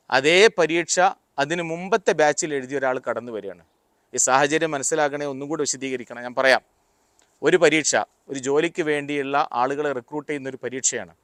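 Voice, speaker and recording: A man giving a motivational talk, explaining deliberately in short, paused phrases. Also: a little high, a bit bright, no room sound, studio quality. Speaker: motivational speaker. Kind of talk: motivational talk with personal anecdotes.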